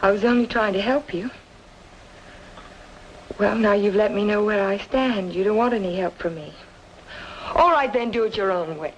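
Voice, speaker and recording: An actress playing an earnest, insistent woman in an old film noir drama, speaking emphatically and deliberately. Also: medium pitch, lightly acted. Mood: hurt, indignant. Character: earnest, insistent woman reasoning with a troubled man. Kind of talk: mid-century film noir drama acting. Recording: noisy old film soundtrack, hissy.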